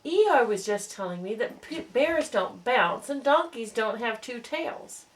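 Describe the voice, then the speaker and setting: A woman's voice: fairly high pitch, expressive, a little slow. A woman voicing a naive, good-natured toy bear in a storybook, simple and earnest.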